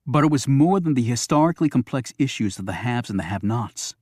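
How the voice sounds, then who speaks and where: A man's voice: medium pitch, lively intonation, fast talker, a little mellow, no room sound, studio quality. A man reading a thriller audiobook as scripted narration.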